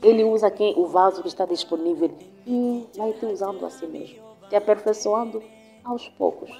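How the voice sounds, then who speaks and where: A woman pastor whose first language is Swahili shares her story in an earnest, reflective way. Her voice sits at an ordinary middle pitch, and she often pauses and restarts. Soft music plays faintly behind her. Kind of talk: testimony in a conversational interview.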